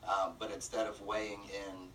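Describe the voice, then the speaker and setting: A man explaining things conversationally as a radio interview guest, often pausing mid-phrase. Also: lowish voice, level tone, sharp tone, distant mic, a little hiss or hum, poor audio. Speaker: interview guest, nonprofit representative.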